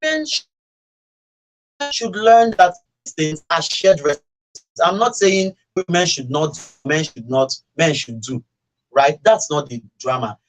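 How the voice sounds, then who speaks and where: A Nigerian man with a fairly high-pitched voice talks casually on a radio show. He argues his views in an animated way and slips into other people's words as he tells a story. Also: unhurried, rough recording. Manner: animated storytelling, acting out quoted remarks. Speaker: radio talk show speaker. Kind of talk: casual opinionated radio discussion.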